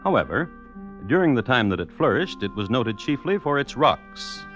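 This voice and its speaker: A man hosting and narrating a scripted true crime radio show in a wry, measured, old-time manner. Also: medium pitch, big pitch swings, fairly bright tone. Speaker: host and narrator. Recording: dull old radio recording. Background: music.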